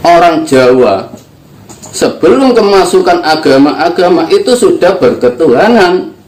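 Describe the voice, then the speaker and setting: A man's voice: fairly high pitch, very animated, unhurried, darkish tone. An older man from East Java, giving an earnest, emphatic religious teaching talk. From East Java, Indonesia. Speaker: spiritual teacher. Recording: echoey room.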